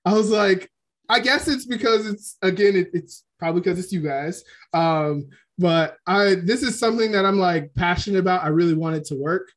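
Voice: medium pitch, normal speed. A podcast host in casual chat, talking loosely with ums and trailing restarts.

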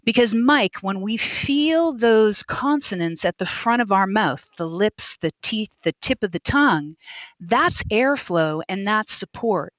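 A middle-aged woman voice coach and speech therapist explaining voice techniques in an interview, lively and instructive, sometimes demonstrating sounds. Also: medium pitch, a little slow, no room sound, highs cut off. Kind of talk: expert interview guest. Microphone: podcast microphone.

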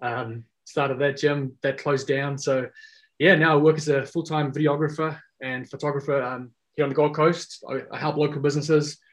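Relaxed man, casual. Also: fairly high pitch, moderate pace. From South Africa. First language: Afrikaans. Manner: rambling, many fillers and restarts. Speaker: guest. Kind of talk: casual podcast chat.

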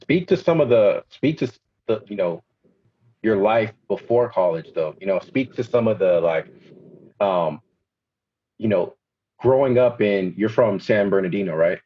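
Deep husky-voiced man asking rambling questions. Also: expressive, a little slow. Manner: rambling, hesitant, many fillers and restarts. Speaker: podcast host. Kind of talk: casual interview questions. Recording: dull lo-fi podcast audio.

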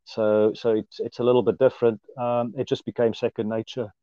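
A man in his thirties from South Africa with an Afrikaans accent, speaking as an interview guest in a relaxed, hesitant way with many fillers. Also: mid-range voice, fairly quick, no echo, muffled recording. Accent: Afrikaans-accented South African English. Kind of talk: casual conversational interview.

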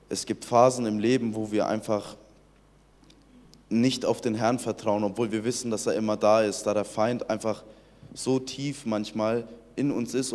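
A man's voice: fairly low pitch, darkish tone, in a large hall. A young man from Iran in his late teens, telling his personal faith testimony earnestly, often restarting his sentences. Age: young adult. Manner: earnest storytelling with many restarts.

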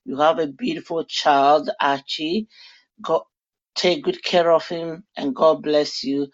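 Woman slowly speaking blessings, preachy vibe, muffled audio. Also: low voice. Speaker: fan sending a message. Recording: dull lo-fi recording.

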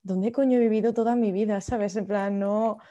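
A woman's voice: medium pitch, steady tone, moderate pace, warm tone, dull audio. A young woman from Madrid with a Madrid Spanish accent, hosting a casual podcast chat and telling stories with frequent laughter. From Madrid, Spain. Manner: chatty, laughs often, storytelling.

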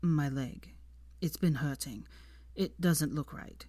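Audiobook role: ailing young man, played by a female narrator, low, subdued, halting, dryly stubborn, close dry sound. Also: dark tone, no room sound. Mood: weak, reluctant.

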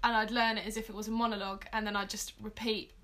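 A woman's voice: mid-range voice, little pitch movement, quick pace, a bit bright. A nineteen-year-old woman with a British accent, talking casually as a guest on a podcast. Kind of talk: casual chat.

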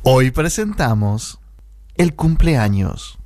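A man theatrically announcing a radio drama in a deliberate scripted style. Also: medium pitch, sing-song. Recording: long echo, loud music behind. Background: loud music.

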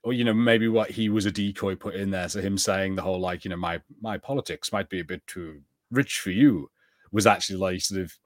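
A man's voice: deep voice, brisk, no echo, studio quality. A man co-hosting a podcast, chatting casually about TV and tentatively thinking aloud. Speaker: podcast co-host. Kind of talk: casual TV review chat.

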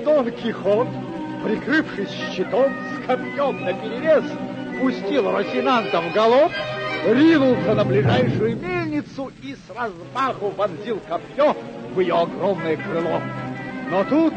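An urbane storyteller in an old radio play, speaking slowly and theatrically. His phrasing is deliberate and varied in melody. Music runs behind a dull, aged recording. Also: high voice, animated. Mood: dramatic, suspenseful. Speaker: narrator.

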